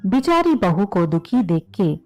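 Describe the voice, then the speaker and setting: A woman's voice: mid-range voice, very animated, a little slow, a little mellow, soft background music. A woman storyteller reading a scripted children's moral story.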